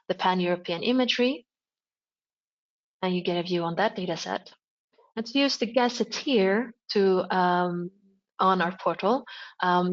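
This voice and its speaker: Woman on lo-fi webinar audio. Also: medium pitch. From Sweden. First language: Swedish. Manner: explanatory, with frequent fillers and self-corrections. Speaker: project presenter. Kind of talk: webinar screen-share walkthrough.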